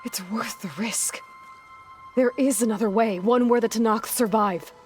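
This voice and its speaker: A woman's voice: a little high, measured pace, darkish tone, faint music behind, close to an everyday voice. A young actress playing a determined female hunter in a video game, asking earnest, pressing questions. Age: young adult. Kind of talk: video game cutscene acting.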